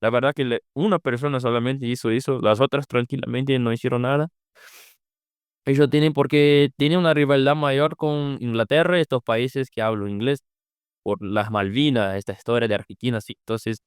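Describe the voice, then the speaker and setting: A man's voice: medium pitch, moderate pace, clean tone, dry recording, clean studio sound. Young Brazilian man speaking careful Spanish. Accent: Brazilian Portuguese. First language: Portuguese. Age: young adult. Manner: hesitant, searching for Spanish words. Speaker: podcast guest, former exchange student. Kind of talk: casual interview answers.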